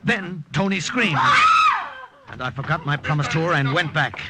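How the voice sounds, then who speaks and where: A man's voice: on the high side, moderate pace, raised voice, heavy background noise, close to an everyday voice. A man acting a resolute, protective wartime saboteur in an old-time radio drama, speaking and narrating with dramatic urgency. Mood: tense narrating. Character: resolute, protective male wartime saboteur telling his story. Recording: old radio recording with music behind.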